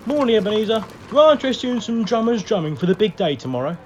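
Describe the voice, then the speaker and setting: A man's voice: sits high, very animated, fast, dull audio, slight background noise. An actor playing a chirpy, cheekily blunt Victorian tradesman in a radio play.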